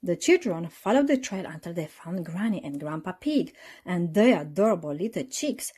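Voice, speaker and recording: Husky, animated woman reading a children's storybook. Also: on the low side, moderate pace. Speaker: storybook reader. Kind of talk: expressive children's read-aloud narration.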